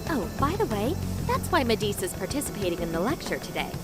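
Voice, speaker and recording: A woman playing a bubbly, eccentric adult AI researcher in an animated game dub, breezy, chatty and enthusiastic. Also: mid-range voice, fast talker, close to an everyday voice. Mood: breezy, curious. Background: music.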